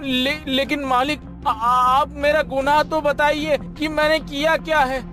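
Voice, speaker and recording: A man's voice: very high voice, flat delivery, a little slow, pushed and bright, faint music behind, exaggerated voice. A man voicing a poor, honest servant in a cartoon story, humble and pleading, stammering at times.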